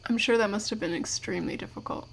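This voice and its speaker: An actress playing a curious, courteous investigative podcaster in an audio drama, speaking conversationally. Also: female voice, medium pitch, quick pace, creaky, a little hiss or hum, natural voice, low-quality recording. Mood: sympathetic. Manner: courteous, conversational, addresses listeners directly. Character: curious, polite female investigative podcaster among zombie fighters.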